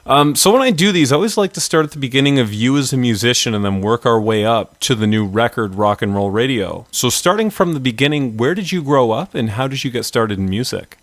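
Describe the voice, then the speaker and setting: A man's voice: mid-range voice, lively intonation, a little fast, hardly any pauses, no room sound. Chatty Canadian radio host in his thirties. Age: 30s. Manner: chatty, upbeat, rambling. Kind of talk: casual radio interview.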